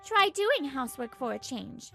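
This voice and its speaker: A woman's voice: high-pitched, very animated, moderate pace, gravelly, bright, forceful tone, soft background music, exaggerated voice. A woman playing an exasperated young pregnant housewife in a manga dub, complaining expressively and narrating her troubles.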